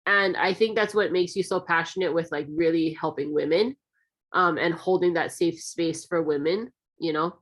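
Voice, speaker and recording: A woman hosting an interview, chatty and encouraging, talking in long, quick runs. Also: on the low side. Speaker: interview host. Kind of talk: casual interview conversation.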